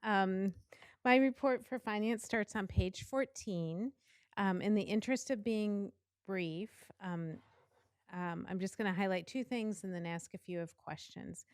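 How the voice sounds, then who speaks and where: A woman superintendent giving a finance report to a board in a quiet voice, speaking directly into the microphone. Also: mid-range voice, a little slow, clean tone, no room sound, clean studio sound. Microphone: talking directly into the microphone.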